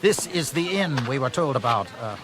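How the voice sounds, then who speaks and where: An actor playing a bullying, mocking Roman army captain in a radio drama. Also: male voice, medium pitch, animated, normal speed, noisy background, lightly acted.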